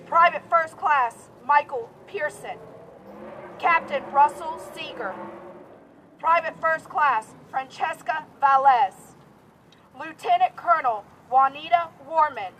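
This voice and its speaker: A woman at an outdoor rally reading victims' names and ranks in a slow, steady, formal way. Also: high voice, slight background noise, low-quality recording. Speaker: rally speaker.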